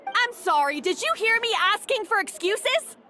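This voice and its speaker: A haughty young woman who rules a kitchen in a video game. She is scornful and commanding, with a forceful, very high, animated voice over some background ambience. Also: moderate pace, some chatter behind, slight background noise, full character voice. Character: haughty, harsh young female head of a hotel kitchen.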